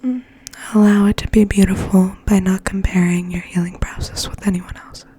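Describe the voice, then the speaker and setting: A woman whispering in a gentle, breathy, slow ASMR style, as if reading poetry aloud and sharing quiet thoughts. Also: medium pitch, little pitch movement. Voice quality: breathy, mostly unvoiced whisper. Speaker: ASMR host and poetry reader.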